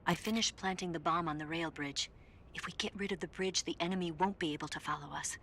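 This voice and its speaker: Actress as female secret agent, slightly low voice, composed, businesslike, video game, faint noisy rumble behind. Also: fast, a bit bright, close to an everyday voice. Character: capable, composed female spy ally reporting in. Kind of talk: video game radio-call acting.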